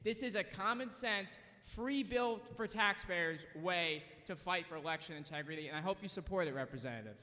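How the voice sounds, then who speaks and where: A man's voice: high voice, normal speed, few pauses, pushed and bright. A man, a state representative, giving insistent formal testimony for his bill at a committee hearing. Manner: insistent, emphatic, persuasive. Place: legislative committee hearing room. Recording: long hall reverb.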